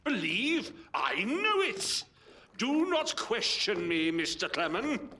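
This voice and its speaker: An actor playing a pompous, newly rich older Victorian father in an audio drama, grandiose and formal in his halting delivery. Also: sits high, huge pitch swings, pushed and bright, slight background noise, exaggerated voice. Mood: haughty, sharp.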